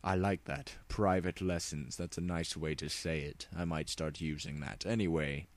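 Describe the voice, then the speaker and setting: A man's voice: a bass voice, fairly even intonation, moderate pace. A man narrating a story as a mock-dramatic comic reading, with wry asides of his own.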